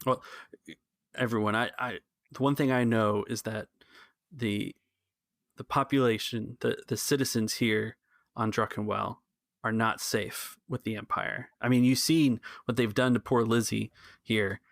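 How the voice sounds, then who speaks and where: A man playing an earnest cantina keeper in a tabletop space adventure, urging rebellion in a halting, persuasive way. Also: mid-range voice, steady tone, vocal fry, no echo, studio quality. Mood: earnest, resolute.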